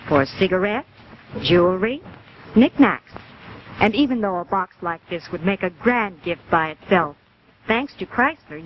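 A woman's voice: mid-range voice, a little hiss or hum. An American woman presenting a 1950s live television commercial in a gracious sales manner. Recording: dull old TV kinescope with music.

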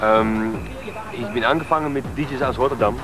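A man in his twenties from the southern Netherlands with a Dutch accent, a DJ chatting in halting non-native German in an outdoor TV interview. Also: mid-range voice, rough recording. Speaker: DJ and producer. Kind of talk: casual interview chat. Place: outdoors on a harbour waterfront. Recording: outdoor TV interview with loud music behind.

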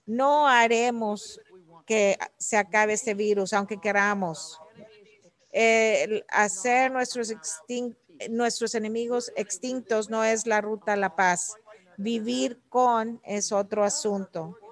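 A woman working as a live interpreter for an academic talk, delivering it in halting, separate phrases. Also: on the high side, fairly even intonation.

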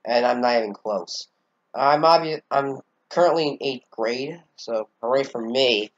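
A congested teenage boy talks through a channel update in a rambling, hesitant way, dropping casual swears between fillers. His voice sits at an ordinary middle pitch. The sound is dull and lo-fi, like a cheap home mic. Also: unhurried. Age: teens. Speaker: channel owner.

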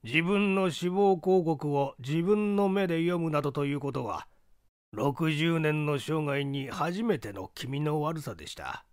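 A man acts out a rich old merchant from a period mystery story. He is sixty, comical and rattled, speaking in wordy, courteous, old-fashioned phrases. The voice sits somewhat high and is recorded close and dry. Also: expressive, a little slow, no room sound. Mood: shaken, indignant. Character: stout-lipped rich merchant of sixty, jokey, shaken.

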